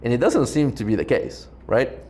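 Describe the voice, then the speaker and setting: A man's voice: mid-range voice, big pitch swings, normal speed, warm tone. A man from Ecuador hosting a podcast, talking in a loose, rambling way with lots of "like" fillers. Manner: rambling, heavy use of "like" fillers. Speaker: podcast host. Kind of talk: casual interview chat.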